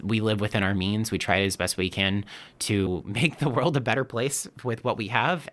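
A man in his thirties, originally from Texas, answering interview questions about trail work. His voice sits very low but has a bright, forceful edge. He talks earnestly and often restarts his sentences. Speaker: nonprofit trail stewardship staffer.

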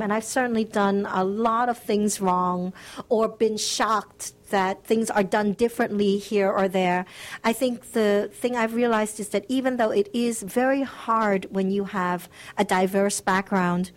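A woman's voice: medium pitch, fairly even intonation, unhurried. Middle-aged woman guest, earnest and reflective, interview answers. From United States. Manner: earnest, reflective, laughs lightly. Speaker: guest author.

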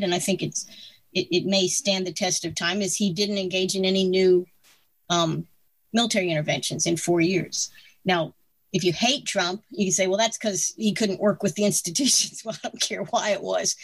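A woman in her sixties giving strong opinions in casual conversation, animated and laughing between points. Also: mid-range voice, no echo. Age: 60s.

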